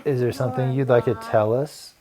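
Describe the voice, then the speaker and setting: A man's voice: medium pitch, fairly even intonation, brisk, loud music behind the voice, close to an everyday voice. A man voicing a cheerful, enthusiastic woman schoolteacher, encouraging and exclamatory, in a children's storybook reading. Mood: gently probing. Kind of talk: children's storybook read-aloud.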